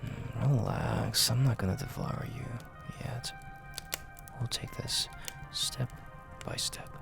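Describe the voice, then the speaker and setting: A man playing a dominant, flirty and possessive mafia boss in an intimate audio roleplay, speaking in a gravelly, breathy half-whisper with a commanding, teasing manner. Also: mid-range voice, steady tone, over music. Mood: teasing, seductive. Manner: slow, commanding, teasing, with drawn-out pauses.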